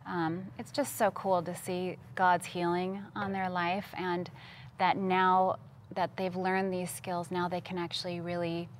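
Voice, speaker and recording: A woman from Costa Rica speaks as a guest in a studio interview. Her voice sits slightly low and her delivery is earnest, like a personal testimony told in conversation. Some background noise is audible. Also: level tone, unhurried. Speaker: interview guest, missions worker. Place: TV studio, seated at a table.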